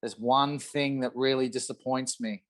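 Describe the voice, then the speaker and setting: A man's voice: medium pitch, steady tone, moderate pace. A man chatting and bantering casually as a podcast guest on a video call. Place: indoor room at home, on a video call.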